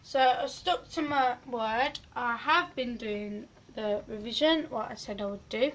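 A woman's voice: a little high, unhurried, low-quality recording. A teenage girl, losing her voice, chatting and rambling in a giggly selfie vlog in her bedroom. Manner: rambling, giggly, drifts loud and soft. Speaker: vlogger. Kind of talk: casual selfie vlog chat. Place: small bedroom indoors. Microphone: phone camera at arm's length.

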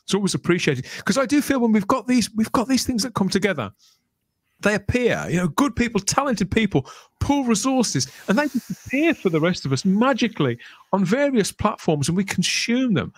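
A man's voice: high voice, very animated, brisk, no room sound. A man hosting a casual podcast in an effusive, appreciative manner, speaking into a close desk microphone. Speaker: podcast host. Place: small room at home, on a video call.

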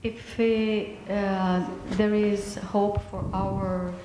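A woman's voice: medium pitch, level tone, drawn-out words, background noise. A woman interpreting aloud in a large hall, hesitant and full of fillers. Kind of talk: live interpreting. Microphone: handheld mic. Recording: echoey hall, long reverb.

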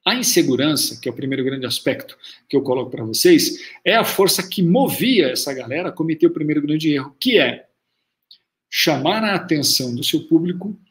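A man's voice: on the high side, lively intonation, brisk. A Brazilian man in his forties with a Brazilian Portuguese accent, teaching public speaking to camera in an online lesson, stressing points by repeating them. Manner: emphatic, repeats key phrases for stress. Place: small room at home, indoors.

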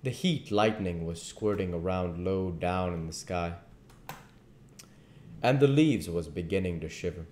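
A man reads a novel aloud in a very deep voice. His delivery is deliberate, with the odd stumble. The home recording has clear room echo. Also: darkish tone, a little hiss or hum. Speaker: amateur audiobook reader. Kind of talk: reading a classic novel aloud. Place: living room at home, indoors.

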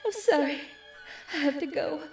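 Woman as a high-voiced anxious cartoon angel. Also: normal speed, roomy sound, distant mic, faint music behind, lightly acted. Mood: fearful, sorrowful. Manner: sighing, emotional, hesitant. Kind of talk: comic dub acting.

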